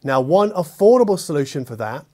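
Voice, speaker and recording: A middle-aged man hosts a product review in a conversational way. His voice sits a little high for a man. He phrases things with measured emphasis and drops in casual asides. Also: sing-song, mellow. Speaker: host, audio gear reviewer. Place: furnished living room with acoustic panels, indoors.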